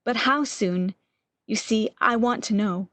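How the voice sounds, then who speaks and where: A woman's voice: on the high side, measured pace, fairly bright tone, no echo. A woman playing a frail, fearful, lovesick woman, pleading emotionally in an amateur radio drama. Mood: anxious, tender.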